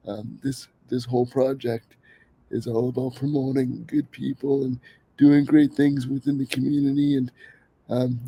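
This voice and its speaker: A man's voice: mid-range voice, dark tone, no echo, poor audio. A man hosting a podcast in an earnest, enthusiastic way, his voice a bit worn from being slightly sick. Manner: earnest, enthusiastic, many fillers and restarts. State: a little sick, voice under the weather. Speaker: podcast host. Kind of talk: casual podcast talk.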